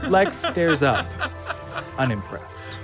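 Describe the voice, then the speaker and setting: A man narrating an audio drama, reading scene descriptions in a measured storytelling style. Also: a little high, huge pitch swings. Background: music.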